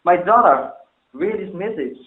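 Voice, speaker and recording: A man's voice: high-pitched, lively intonation, a little slow. A man playing a stern, anxious bell-maker father in a formal, declamatory stage drama. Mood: worried. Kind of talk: amateur stage-play dialogue, formal archaic English. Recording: muffled, phone-like, echoey room.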